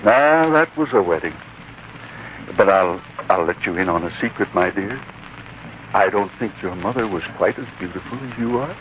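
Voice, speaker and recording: A man acting a kindly, humble old scholar in a 1940s radio drama, speaking in a halting, courteous, avuncular way. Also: on the low side, animated, lightly acted. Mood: fond, teasing. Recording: muffled, noisy 1940s radio recording.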